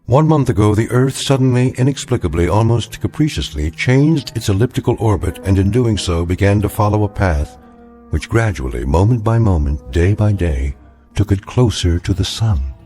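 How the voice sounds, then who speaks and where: Male actor as grave omniscient narrator, low voice, measured, ominous, eerie radio tale, music underneath. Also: lively intonation, mellow, close to an everyday voice. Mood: ominous, solemn. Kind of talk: scripted dramatic narration.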